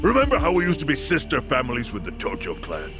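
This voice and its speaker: An actor playing an aggrieved, foul-mouthed yakuza subordinate in a dramatic video game scene. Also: male voice, mid-range voice, wildly swooping pitch, fast. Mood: bitter, indignant. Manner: profane, indignant. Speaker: yakuza gangster. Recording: music behind the voice.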